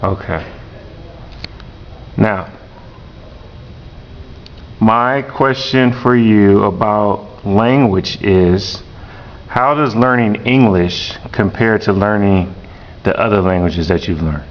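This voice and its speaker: A man, a teacher, interviewing a student slowly and repeating each answer back. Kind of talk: classroom interview. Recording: dull lo-fi recording with rumble.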